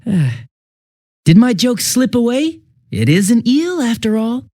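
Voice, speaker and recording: An actor playing a bumbling, chatty young police detective in a video game dub, with an American accent and a sheepish, stammering, casual manner. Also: male voice, extremely high pitch, very animated, measured pace, dark tone, no room sound, studio quality, exaggerated voice. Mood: jokey, upbeat.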